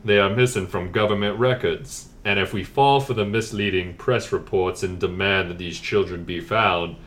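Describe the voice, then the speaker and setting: A man playing a solemn expert in a forced low voice for a scripted comedy explainer. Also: normal speed, a bit bright.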